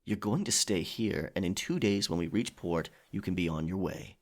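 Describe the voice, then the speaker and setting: A man's voice: low voice, moderate pace. A man playing a roguish pirate captain, courteous but firm, with gallant old-fashioned phrasing in an intimate ASMR roleplay. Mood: firm, persuasive. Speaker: audio roleplay performer. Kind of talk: ASMR audio roleplay, intimate dramatic acting.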